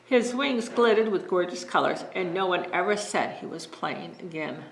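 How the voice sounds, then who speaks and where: A woman librarian reading bedtime stories to children in a gentle, friendly storytelling manner. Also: fairly low pitch, lively intonation, moderate pace, vocal fry, slight background noise. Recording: echoey room.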